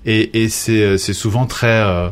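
A man's voice: on the low side, fairly even intonation, unhurried, clear, resonant tone. A man of Portuguese origin leading a casual conversation as interviewer, hesitant, restarting his sentences while he searches for words.

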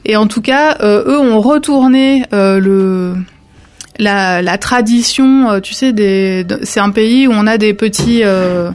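A woman's voice: medium pitch, slow pace, darkish tone. A woman who works at an art gallery's reception, explaining exhibitions in a casual conversation with many fillers. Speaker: museum visitor services staff.

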